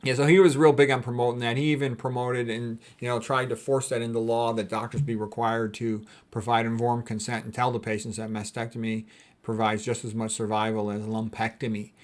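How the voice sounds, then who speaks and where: A man's voice: medium pitch, level tone, a little fast. A man gives an informal explanatory talk in the role of a doctor. His delivery is blunt and colloquial, full of conversational asides and firm opinions. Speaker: doctor giving a health talk. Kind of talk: informal explanatory lecture with commentary.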